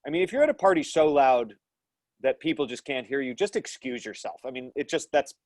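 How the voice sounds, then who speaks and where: A man's voice: medium pitch, animated, a little fast, darkish tone, dry recording. A man in his thirties teaching an online acting class from home over a video call, speaking thoughtfully with many restarts and fillers. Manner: gestures with his hands while explaining. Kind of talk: live online class lecture and Q&A. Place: small room at home. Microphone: earphone headset mic on a video call.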